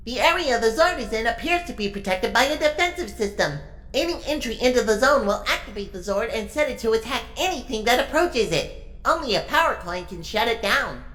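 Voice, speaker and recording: An actress playing a precise technical aide briefing a hero team in an audio drama. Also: female voice, fairly high pitch, lively intonation, a little fast, fairly bright tone, soft background music, low-quality recording. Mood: explanatory.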